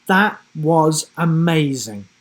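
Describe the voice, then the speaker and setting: A man voicing an earnest, excitable young boy hero from a children's storybook, breathy and emotional. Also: fairly high pitch, slow pace. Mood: amazed.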